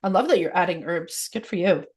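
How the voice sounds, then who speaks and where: A woman hosting a podcast in an informal, chatty and expressive conversational style. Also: lowish voice, fairly quick, slightly rough. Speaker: podcast host.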